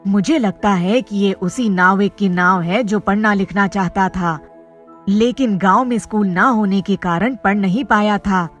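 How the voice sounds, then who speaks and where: An earnest villager from a cartoon moral tale, played by a woman. The voice is medium in pitch and deliberate, with music underneath. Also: natural voice.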